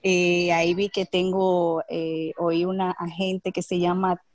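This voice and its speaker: Woman real estate agent, lower voice, halting, filler-heavy self-introduction. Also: little pitch movement, dry recording. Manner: many hesitation fillers. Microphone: video call.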